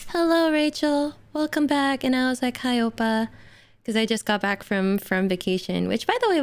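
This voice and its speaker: A woman's voice: fairly high pitch, clean tone. A woman streamer with a light Indonesian accent, chatting casually and jokily with her viewers while feeling unwell with an upset stomach. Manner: chatty, giggly, jokey.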